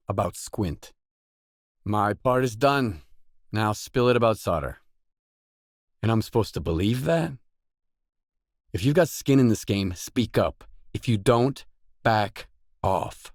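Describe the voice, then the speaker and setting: An actor playing a guarded, dry, tough stalker mercenary in a video game, with terse, blunt delivery. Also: male voice, lowish voice, animated, measured pace, long pauses, no echo. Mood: angry, confrontational. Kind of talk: video game voice acting, isolated dialogue lines.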